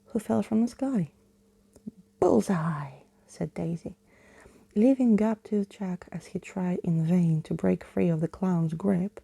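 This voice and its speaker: A woman reading a story aloud as ASMR, hushed and often slipping into a whisper, close to the mic. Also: fairly low pitch, vocal fry, no room sound.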